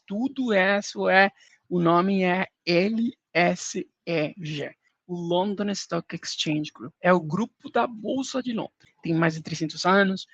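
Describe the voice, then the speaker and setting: Guest: Colombian man speaking Portuguese as a second language, high-pitched and gravelly, dry muffled sound. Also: a bit bright, dry recording. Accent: Colombian Spanish. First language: Spanish. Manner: explains with everyday comparisons, hesitant restarts. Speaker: podcast guest, index business executive. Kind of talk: casual explanatory talk.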